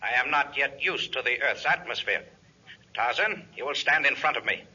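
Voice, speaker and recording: An actor playing an arrogant visitor from outer space in a 1950s radio serial, giving orders in stilted, formal speech. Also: male voice, medium pitch, moderate pace, bright, forceful tone. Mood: imperious. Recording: dull, raspy 1950s radio recording.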